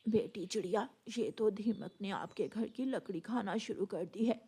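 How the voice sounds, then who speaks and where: A woman voicing a kindly old mother dove who gives advice in a Hindi cartoon, with a husky voice. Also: medium pitch, normal speed, warm tone. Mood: concerned, advising. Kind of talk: Hindi storytelling cartoon dialogue.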